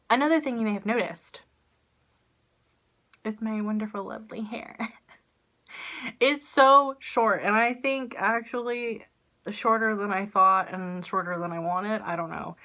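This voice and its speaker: A young woman with a bright, forward voice talks straight into a phone held at arm's length in a small room. Her nose is stuffed up from allergies, and she rambles along with plenty of "like" and "um", poking fun at herself. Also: medium pitch. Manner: rambling, self-deprecating, lots of "like" and "um". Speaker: vlogger. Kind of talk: casual chatty vlog. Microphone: phone camera at arm's length.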